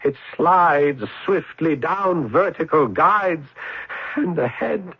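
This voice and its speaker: Tormented, slow-spoken, husky lonely man. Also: on the high side, lively intonation, heavily acted. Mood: horrified, anguished. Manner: halting, melodramatic, repeating phrases. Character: tormented, lonely, shy, bookish man in revolutionary Paris. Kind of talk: old-time radio drama acting. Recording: dull, lo-fi radio drama recording.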